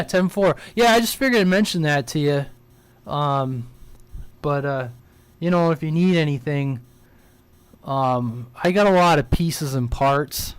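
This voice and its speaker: A man's voice: fairly high pitch, clean tone, no echo. A man chatting casually on the radio as a CB operator, in an easygoing, informal way. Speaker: CB radio operator, live stream host.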